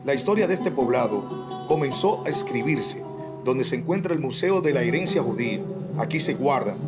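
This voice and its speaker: Man, scripted documentary narration, steady reading, loud guitar music behind. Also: fairly high pitch.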